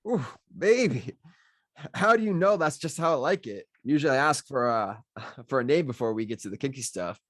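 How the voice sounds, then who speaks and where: A cocky male drifter, played by a man at a table read swings his pitch freely as he teases. He is sardonic and flirtatious, a smart-aleck in a dry, close recording. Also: animated, moderate pace, dry recording, lightly acted. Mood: flirtatious, teasing. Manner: teasing, drawling pet names, smart-alecky. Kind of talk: amateur screenplay table read.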